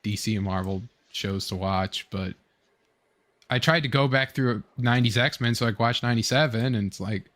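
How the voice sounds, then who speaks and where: A young adult man hosting a casual online fan discussion, talking in a rambling, halting way with fragmented phrasing. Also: medium pitch, fairly bright tone. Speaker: online show host. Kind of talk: casual fan commentary, reading chat comments.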